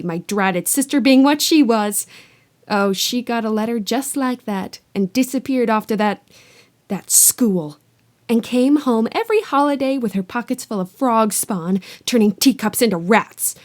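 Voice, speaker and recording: A woman voicing a shrill, bitter middle-aged woman who rants spitefully. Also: measured pace, close to an everyday voice. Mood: ranting. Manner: shrieking, spiteful.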